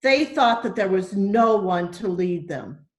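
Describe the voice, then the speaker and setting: Slow-paced woman reading a children's story. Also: medium pitch, lively intonation. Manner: deliberate, simple phrasing with questions to young listeners. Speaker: church storyteller. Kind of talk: children's Bible story read aloud. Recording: echoey room.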